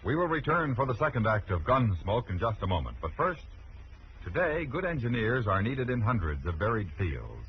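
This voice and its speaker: A man's voice: medium pitch, slight background noise. An American man announcing in 1950s radio style, polished and emphatic. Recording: muffled old radio recording.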